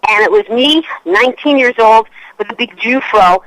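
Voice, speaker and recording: A woman's voice: fairly high pitch, moderate pace, no echo, low-quality recording. A woman in her fifties from Canada, with a Canadian accent, chatting as a guest and telling stories about herself. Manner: chatty, self-mocking, animated. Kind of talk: casual radio interview storytelling.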